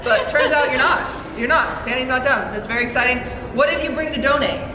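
High-voiced woman hosting a red carpet. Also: brisk. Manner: playful, teasing, says she has a monotone voice. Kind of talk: casual red carpet chat. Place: large indoor hall at a charity gala. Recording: echoey hall, crowd noise, far from the mic. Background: party crowd chatter.

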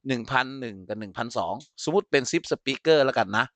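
A man's voice: medium pitch, lively intonation, brisk, fairly bright tone, no echo. Man teaching an informal technical tutorial. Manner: explanatory, frequently checks that listeners follow. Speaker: instructor. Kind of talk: informal software tutorial with live demonstration.

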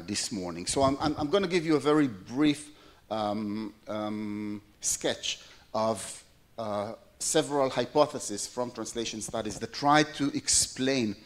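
Hebrew-native middle-aged male academic, hesitant lecture delivery, many "um"s, hall mic. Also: lowish voice. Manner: hesitant, many fillers and restarts. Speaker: plenary speaker. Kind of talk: conference lecture.

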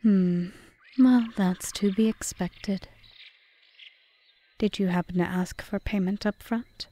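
A woman playing a composed, aggrieved witch in an ASMR roleplay, speaking formally and measuredly in a breathy half-whisper. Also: medium pitch, steady tone, slow pace, dark tone, lightly acted. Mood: dry, curious. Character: cool, bargaining yandere witch, aggrieved yet composed. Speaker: audio roleplay performer.

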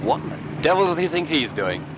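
A man's voice: a little high, big pitch swings, a little fast, vocal fry, background noise. An actor playing a brusque flight instructor officer who scolds his trainees. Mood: exasperated. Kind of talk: 1940s British film acting.

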